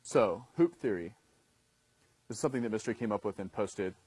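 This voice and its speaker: Husky-voiced man in his thirties teaching. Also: on the low side, animated, brisk, darkish tone, dry recording. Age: 30s. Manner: conversational, often checks in with "okay?". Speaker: dating coach instructor. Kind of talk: instructional talk to a class.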